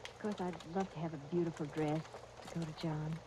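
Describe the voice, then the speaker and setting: A kind, earnest young woman played by an actress in an old radio soap opera. Her voice sits a little low and she speaks with gentle, reassuring politeness, over the clear noise of a 1930s disc recording. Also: steady tone, brisk, lightly acted. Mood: polite, admiring. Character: kind, earnest young fiancée training as nurse.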